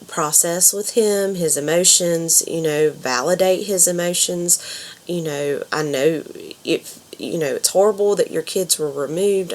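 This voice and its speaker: Young woman, congested from a cold, low voice, halting casual delivery full of fillers. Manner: hesitant, many ums and you knows. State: stuffed up from a cold. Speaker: counselor.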